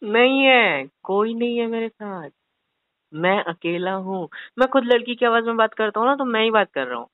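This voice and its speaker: A man's voice: high-pitched, lively intonation, normal speed, no room sound, phone-quality sound. Pleading young man on a call. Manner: pleading, evasive. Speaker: prank caller. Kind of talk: casual prank phone conversation.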